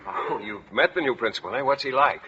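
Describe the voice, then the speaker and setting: An actor playing an earnest, oblivious biology teacher with a hesitant, excitable manner in a 1940s radio comedy. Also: male voice, medium pitch, brisk, slight background noise. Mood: worried, hesitant. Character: earnest, shy, oblivious biology teacher fussing over lab animals. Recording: muffled vintage 1940s radio broadcast.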